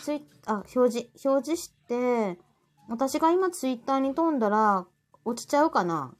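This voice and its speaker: A woman with a Kansai Japanese accent chatting casually as a co-host, playful and teasing, quick to react. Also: on the high side, lively intonation, soft background music. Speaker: co-host of a live audio chat show. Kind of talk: casual chat.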